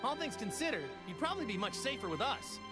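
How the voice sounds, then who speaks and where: Level-headed male anime fighter, loud music. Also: extremely high pitch, big pitch swings, fast talker. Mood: practical. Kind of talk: dubbed anime video game acting.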